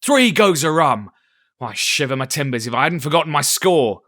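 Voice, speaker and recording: A man voicing a blustering, cunning old one-legged pirate cook who shouts in salty sailor talk. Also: medium pitch, lively intonation, normal speed, dry recording, studio quality. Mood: jovial.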